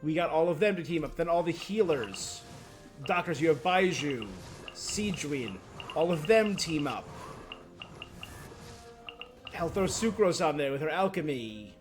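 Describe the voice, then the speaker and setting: A male game streamer chatting casually as he plays. His voice is a little high, and faint music runs underneath his commentary. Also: expressive, a little slow, pauses often. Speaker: game livestreamer.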